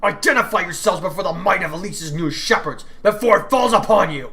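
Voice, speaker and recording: A man voicing an angry young mage-swordsman who shouts furiously. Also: sits high, brisk, creaky, voice pushed up, exaggerated voice.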